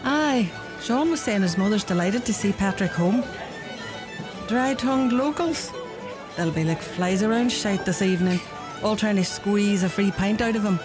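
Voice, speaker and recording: Actress as barmaid, female, Donegal Irish accent, sarcastic, sharp-tongued, radio drama, fiddle music behind. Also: mid-range voice, normal speed, natural voice. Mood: sardonic. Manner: dry, cutting, sarcastic. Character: sharp-tongued barmaid, publican's sardonic daughter. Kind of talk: radio play acting.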